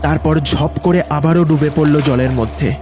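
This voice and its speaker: A man's voice: on the high side, moderate pace, a little mellow, slight background noise. A man narrating a scripted ghost story in a dramatic storytelling style.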